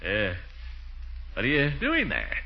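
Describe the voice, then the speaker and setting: An actor playing a pompous, suspicious middle-aged uncle in a 1940s radio comedy, probing with mock politeness. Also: male voice, mid-range voice, wildly swooping pitch, slow, gravelly, heavily acted. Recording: muffled, noisy 1940s radio recording.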